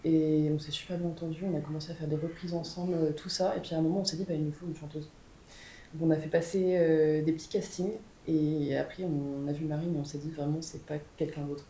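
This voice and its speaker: Fast-talking low-voiced young woman. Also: flat delivery, mellow. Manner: quick, chatty storytelling with many fillers. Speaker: guitarist in a band. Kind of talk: casual interview answers. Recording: echoey room with faint rumble.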